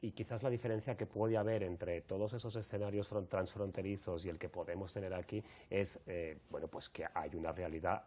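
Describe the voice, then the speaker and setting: A man with an accent from Spain hosting an interview, wordy and halting as he rephrases his questions. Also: deep voice, steady tone, warm tone, a little hiss or hum. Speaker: radio or TV show host. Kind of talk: live interview, introducing guests.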